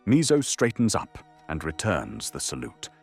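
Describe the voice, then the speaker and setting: Low, husky, gravelly male narrator. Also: huge pitch swings, unhurried, faint music behind. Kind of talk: dramatic scripted narration of an anime audio drama.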